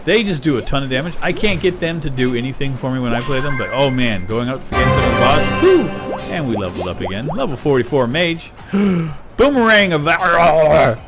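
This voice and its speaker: A middle-aged man rambling casually while playing a video game, getting excited over loot. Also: mid-range voice, fairly quick. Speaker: gaming YouTuber. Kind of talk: casual let's-play commentary. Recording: game music behind voice. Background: game music.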